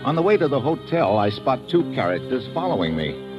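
A man's voice: medium pitch, sing-song, a little fast, close to an everyday voice. Guy playing hard-boiled spy guy, dry and wry, old dull-sounding radio show with music. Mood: wry, world-weary. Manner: wry, sardonic, clipped. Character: wry, hard-boiled male secret agent narrating his assignment. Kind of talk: 1950s radio noir narration and dialogue.

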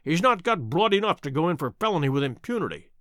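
A man voicing a self-assured Victorian detective in an audiobook, measured, stern and dryly mocking. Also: a little high, big pitch swings, a bit bright, dry recording, studio quality. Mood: quiet authority. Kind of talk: dramatic audiobook character reading.

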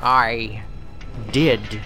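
An actor playing a calm, menacing man who speaks in measured, philosophical riddles, in an audio drama. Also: mid-range voice, soft background music.

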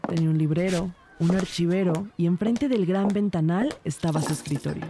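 A woman's voice: fairly low pitch, fairly quick, noisy background, natural voice. An actress playing a junior woman detective who narrates her case in a scripted audio drama, with music behind her. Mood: composed, inquisitive.

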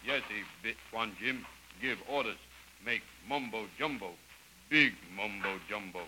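Casting: loyal native guide, lowish forceful voice, halting broken English, deferential, vintage radio serial with faint noise. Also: male voice, low-quality recording. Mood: earnest, mysterious. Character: Kolu, loyal native servant and guide, man. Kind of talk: 1930s radio serial acting.

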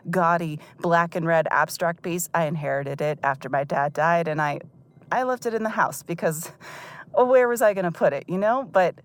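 A woman's voice: fairly low pitch, lightly acted. A woman playing a shaken, hesitant character exploring a dead parent's house in a naturalistic audio drama. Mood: wry, uneasy. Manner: hesitant, halting, nervous. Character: shaken grown child of a dead rich father, exploring a childhood home.

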